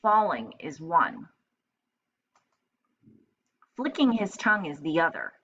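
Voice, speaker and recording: A woman with a fairly low voice reads a children's story like a teacher. She thinks aloud in simple, instructive asides. The recording is slightly dull and lo-fi. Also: sing-song, slow, fairly bright tone, husky. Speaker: teacher reading aloud to pupils. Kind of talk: storybook narration with explanatory asides.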